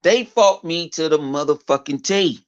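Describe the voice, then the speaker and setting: A man's voice: on the high side, measured pace, clean tone. Man with a big voice, rambling rant. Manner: rambling, profane, full of fillers and repeats. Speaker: gaming YouTuber. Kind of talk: casual off-the-cuff vlog rant. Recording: dull lo-fi recording.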